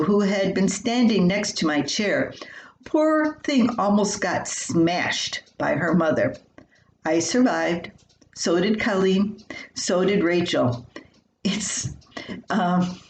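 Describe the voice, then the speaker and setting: An older woman with a fairly low voice reads a personal column aloud like a folksy storyteller. Her delivery is expressive and homespun. The recording is quite roomy and echoey, with faint noise. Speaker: columnist reading her own writing. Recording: roomy echo with faint hiss.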